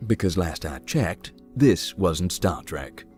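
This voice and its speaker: Big easygoing man, low-pitched, folksy, wry deadpan, expressive melody, visual novel game, music underneath. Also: slow, slightly rough, heavily acted. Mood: calm, wry. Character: giant, easygoing, wry man exploring a haunted hospital. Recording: music behind the voice.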